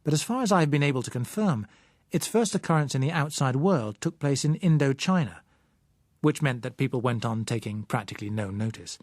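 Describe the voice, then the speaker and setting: A British man reading a first-person novel as audiobook narrator, measured, articulate and dryly wry. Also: medium pitch, no echo, clean studio sound.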